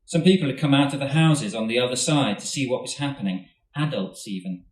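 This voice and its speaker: A man, an author, reading his own short story aloud at a festival event in a steady, measured way, recorded in a hall from a distance. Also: mid-range voice. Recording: roomy hall, mic at a distance.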